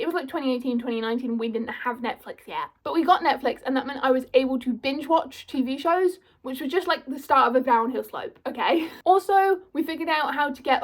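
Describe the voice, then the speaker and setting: Brief: woman, 21, high voice, chatty and self-mocking to camera. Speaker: vlogger. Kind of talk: casual chatty commentary.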